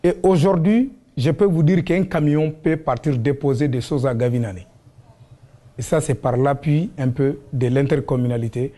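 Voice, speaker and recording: A man's voice: a little high, normal speed, dark tone. A man with a West African accent answering in a documentary interview, explaining in a hesitant way and repeating his phrases.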